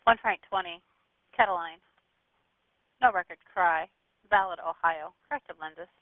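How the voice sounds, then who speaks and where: A man working as a police dispatcher, talking in brisk procedural phrases over a two-way radio. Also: high voice, no room sound. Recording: tinny, narrow police radio band.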